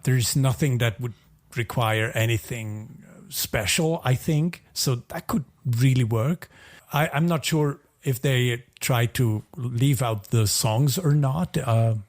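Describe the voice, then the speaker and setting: Slow-talking man on a podcast. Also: mid-range voice. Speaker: podcast co-host. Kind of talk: casual podcast chat.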